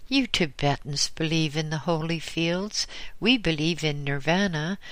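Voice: mid-range voice, unhurried, a bit bright, no room sound, pitched above the performer's own voice, close to an everyday voice. A narrator voicing a frightened, widowed old woman who pleads in a trembling, beseeching way. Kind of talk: audiobook character voice.

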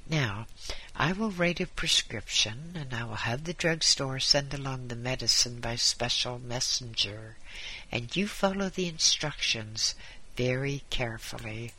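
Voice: mid-range voice, measured pace, sharp tone, slightly rough, low-quality recording. A narrator voicing a pompous private doctor who speaks formally and sedately, with condescension. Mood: brisk, instructing. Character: pompous, measured private doctor, smoothly mercenary.

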